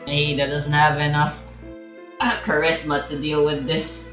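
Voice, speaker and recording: Low, flat-toned woman in a roomy space. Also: unhurried. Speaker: game streamer. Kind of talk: casual commentary over game. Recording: roomy echo, clear game music behind. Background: video game music.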